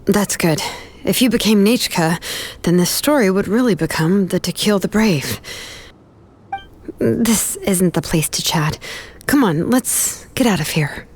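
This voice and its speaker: An actress playing a calm young woman in a video game who speaks firmly and earnestly in measured phrases. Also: medium pitch, slight background noise, lightly acted. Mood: reassuring. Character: calm, steady young woman confronting a grieving elder.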